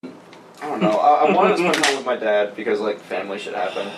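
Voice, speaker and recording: A man's voice: lowish voice, lively intonation, a little fast. A young man joking casually with friends on a podcast. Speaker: podcast participant. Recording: distant mic, noisy ambience.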